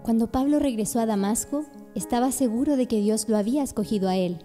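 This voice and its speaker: A woman's voice: a little high, fast, dark tone, faint music behind. A woman giving scripted narration for a radio drama.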